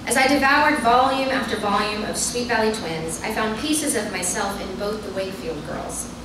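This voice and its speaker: A woman's voice: medium pitch, moderate pace, recorded from a distance. A woman author reads a humorous personal essay aloud to a live audience at a bookstore event, with wry, self-deprecating, performative storytelling. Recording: echoey, noisy room, recorded from afar.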